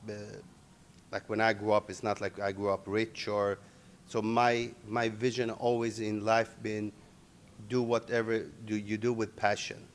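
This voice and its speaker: A middle-aged man, born in Iran and raised in Sweden, answering interview questions in halting English full of restarts. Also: fairly low pitch, a little hiss or hum. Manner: halting non-native English with many restarts. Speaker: interviewee, MMA organization owner.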